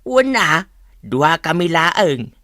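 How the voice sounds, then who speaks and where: A man's voice: fairly high pitch, big pitch swings, slow pace, sharp tone, dry recording, studio quality, full character voice. A man with an Ilocano accent playing a stubborn, smug old husband who teases his wife in a radio comedy-drama. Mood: teasing, defiant.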